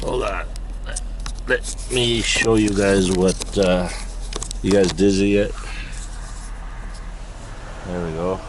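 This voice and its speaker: A man's voice: sits low, fairly even intonation, background noise. A Filipino man with a Filipino accent, telling jokes in casual chat from inside a car. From Philippines. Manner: joking, unhurried storytelling. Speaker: vlog host.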